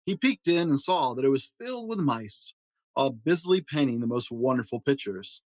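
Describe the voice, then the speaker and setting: A man's voice: medium pitch, big pitch swings, moderate pace, darkish tone, no room sound. Man reading a storybook aloud, dry sound. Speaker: storyteller and host. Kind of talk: children's storybook narration.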